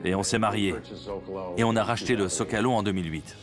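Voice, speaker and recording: A man's voice: fairly low pitch, fast, natural voice. An actor dubbing an anxious middle-aged man who owns a struggling restaurant, speaking in an earnest, worried way. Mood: wistful. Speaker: restaurant owner. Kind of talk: dubbed reality TV confessional. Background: music bed.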